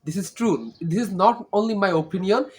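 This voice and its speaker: A man's voice: sits high, moderate pace. A young man from Bangladesh, a native Bangla speaker with a Bangladeshi accent, giving an earnest, informal English lesson and often checking in with "okay?". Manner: earnest, repeats tag questions like "okay?". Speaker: English learning vlogger. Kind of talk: informal teaching monologue.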